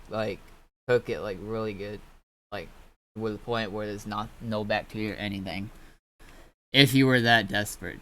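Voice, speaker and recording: A man with a fairly low voice talks as the host of a gaming livestream. He is informal and sweary, rambling from point to point as he chats. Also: a bit bright. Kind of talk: casual gaming stream chat.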